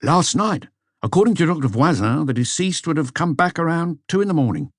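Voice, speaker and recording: Man voicing a hesitant police inspector, raised lilting pitch. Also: expressive, a little fast, dry recording, studio quality. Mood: matter-of-fact, hesitant. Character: hesitant, deferential male police inspector giving a report. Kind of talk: audiobook character dialogue.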